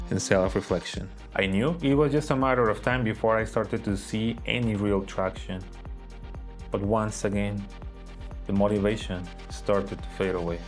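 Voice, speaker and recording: Low-voiced man telling his own story. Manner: earnest and reflective. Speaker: vlogger. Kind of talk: personal story narration. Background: soft guitar music.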